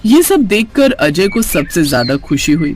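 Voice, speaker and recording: A man's voice: high voice, very animated, normal speed. A man reading scripted narration for a cartoon family story.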